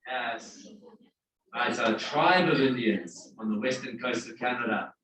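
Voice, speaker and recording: A man's voice: mid-range voice, a little slow, a crowd in the background. Male classroom teacher, mid-range voice, prompting students; recorded at a distance in a roomy space. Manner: coaxing, questioning students. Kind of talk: interactive classroom talk. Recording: echoey room, distant microphone.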